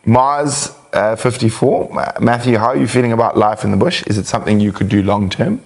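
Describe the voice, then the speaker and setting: A man hosting a live wildlife show, reading out viewer questions in a relaxed, chatty way with lots of fillers and laughs. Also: fairly low pitch, a little fast, gravelly.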